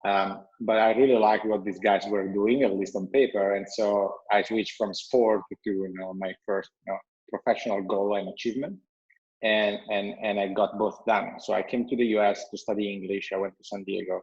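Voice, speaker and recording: A man with an Italian accent talks in a low, even voice with little rise and fall, pausing on "um" and restarting his sentences. The sound is dull and lo-fi, like video call audio from a bright room. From Italy. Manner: measured, with frequent restarts and "um". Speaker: guest, company founder. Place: bright room at home with a window.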